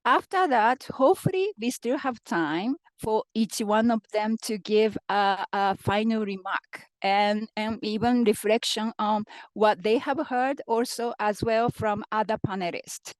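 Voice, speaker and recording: Japanese accent lady running a panel, halting, lots of restarts, dry close sound. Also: medium pitch, dry recording, low-quality recording. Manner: halting, with frequent restarts and fillers. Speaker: panel moderator. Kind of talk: moderating a conference panel.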